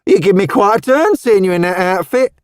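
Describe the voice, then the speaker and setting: A man's voice: high voice, animated, fairly quick, clear, resonant tone, dry recording, clean studio sound, pitched above the performer's own voice. A man voicing a cheerful, wizened little old Cockney charwoman who talks in a chatty, homely, motherly way.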